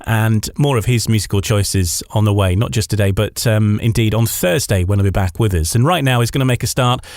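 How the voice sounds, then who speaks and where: A man's voice: on the low side, a little fast, a little mellow, no echo. A man presenting a radio show and interviewing a guest, introducing segments and putting questions on a close microphone.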